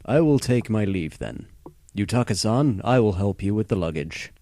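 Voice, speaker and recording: A man's voice: fairly low pitch, animated, normal speed, warm tone, no echo, studio quality. A man playing a formal, dignified butler in an audio drama, with stiffly courteous speech.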